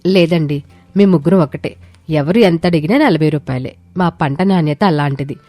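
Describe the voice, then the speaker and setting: A woman's voice: low-pitched, normal speed, dark tone, no room sound, natural voice. A woman voicing a spirited young village daughter-in-law in a moral story, earnest and emphatic. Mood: firm selling. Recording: music behind the voice.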